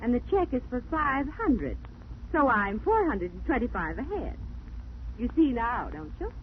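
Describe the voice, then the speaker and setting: A woman's voice: a little high, sing-song, a little slow. An actress playing a plucky, pert young woman sleuth with theatrical delivery in a 1940s radio drama. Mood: playful, pleased. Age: young adult. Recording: scratchy, tinny 1940s radio broadcast.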